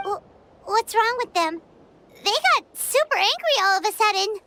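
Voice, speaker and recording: A woman voicing a tiny, childlike, excitable fairy companion in a game, squeaky and wide-eyed. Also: normal speed, noisy background. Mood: startled. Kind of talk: English game dub acting.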